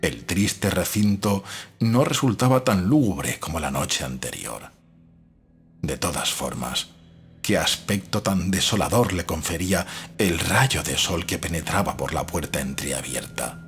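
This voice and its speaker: A man's voice: low voice, animated, unhurried, husky. A man reading gothic fiction as an audiobook narrator, in a scripted, dramatic style. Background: faint music.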